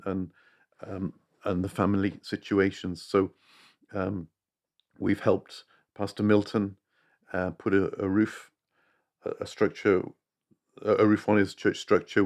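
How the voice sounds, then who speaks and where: A man in his fifties with a low, deep voice and a flat, even delivery, talking without a script about a charity project. He often stumbles, repeats words and restarts his sentences, and pauses now and then to clear his throat. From Uganda, raised in East Africa then UK. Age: 50s. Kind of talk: unscripted appeal talk.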